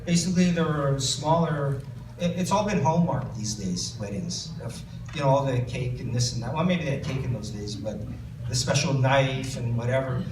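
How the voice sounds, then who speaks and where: A man's voice: mid-range voice, normal speed. Canadian man reading aloud in an echoey room. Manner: hesitant, many fillers and restarts. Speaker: author. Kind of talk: book reading and audience Q&A. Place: bookstore event space. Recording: roomy distant recording with background noise.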